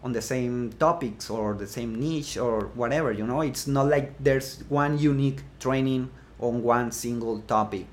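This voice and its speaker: A man from Mexico with a Mexican Spanish accent, speaking as an interview guest in a casual chat, pausing often with fillers and restarts. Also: mid-range voice. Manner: hesitant, many fillers and restarts. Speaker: guest, blogging course creator.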